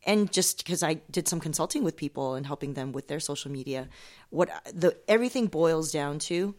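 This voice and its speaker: A woman's voice: sits low, animated, fairly quick, darkish tone. A woman with an American accent talking informally in a casual podcast conversation. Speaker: podcast speaker.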